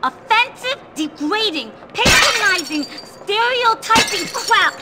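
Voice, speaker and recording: A woman playing a tiny, furious, indignant circus performer in a video game, shrill and ranting. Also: expressive, slow pace, heavy background noise, full character voice.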